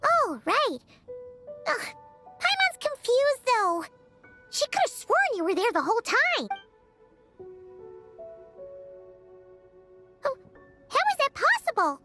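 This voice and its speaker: A woman voicing a tiny, excitable, childlike fairy companion in a cartoonish video game. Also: very high voice, unhurried, long pauses, music in the background, exaggerated voice. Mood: confused. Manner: speaks of self in third person.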